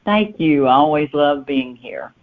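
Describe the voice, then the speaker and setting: A woman author chats casually as an interview guest, telling things in a halting way and repeating words. The sound is narrow and tinny, like a telephone. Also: sits low, lively intonation. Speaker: novelist guest being interviewed. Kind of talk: casual, anecdotal interview chat. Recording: tinny phone-line sound.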